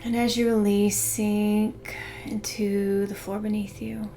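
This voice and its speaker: A woman guiding a meditation in a soothing, unhurried way, hushed in places. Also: mid-range voice, flat delivery, a little hiss or hum. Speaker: meditation guide. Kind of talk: guided meditation narration. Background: background music.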